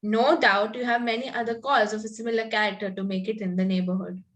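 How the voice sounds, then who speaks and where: High pitch, woman acting innocent barbed young girl, polite, slightly halting, amateur comedy table read. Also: fairly even intonation, a bit bright, close to an everyday voice. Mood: sweetly barbed.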